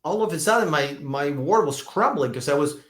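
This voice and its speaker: A man in his fifties, a doctor being interviewed, telling stories in a lively way, often restarting and repeating words. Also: a little high, a little fast, reverberant. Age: 50s. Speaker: functional medicine doctor, guest. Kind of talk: conversational podcast interview.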